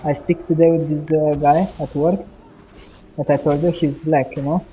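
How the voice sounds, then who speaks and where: A man's voice: fairly high pitch, flat delivery, normal speed, dark tone, a little hiss or hum, rough recording. A man chatting casually while streaming a game, rambling and dropping "you know?" into most sentences. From Romania. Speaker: gaming streamer. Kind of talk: casual chat while gaming.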